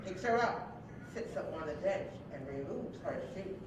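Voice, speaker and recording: A woman's voice: on the low side, expressive, normal speed. A woman reading stage directions aloud as scripted narration at a live staged play reading. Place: large echoey room, live staged reading. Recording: very echoey live hall, distant mic, noisy.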